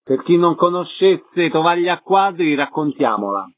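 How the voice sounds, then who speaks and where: A man's voice: on the high side, expressive, moderate pace. A man hosting a radio interview, introducing guests and putting questions to them. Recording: narrow phone-like radio sound.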